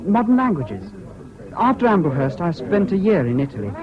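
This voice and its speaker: An eccentric young English student in an old radio drama. His voice is high and lively, light and unruffled in manner. The sound is muffled, with a crowd murmuring behind him. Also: brisk. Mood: breezy. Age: young adult. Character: nonchalant, eccentric young male language student. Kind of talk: 1970s British radio drama acting.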